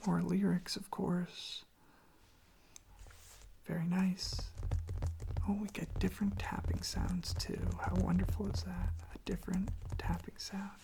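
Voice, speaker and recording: An ASMR host speaking in a soft, breathy, husky whisper, rambling casually and gently in an informal, hushed way. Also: medium pitch, little pitch movement, unhurried, long pauses.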